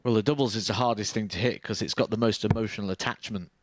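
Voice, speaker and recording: A man giving live darts commentary in a measured, explanatory way. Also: on the low side, steady tone, no room sound.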